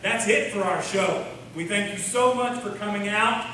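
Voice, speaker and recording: A man's voice: sits high, normal speed. A man from Oklahoma with an American accent, hosting a live stage radio show in a sincere, homespun, folksy way. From Oklahoma, USA. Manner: sincere, homespun address to the audience. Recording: echoey hall from far off, noisy.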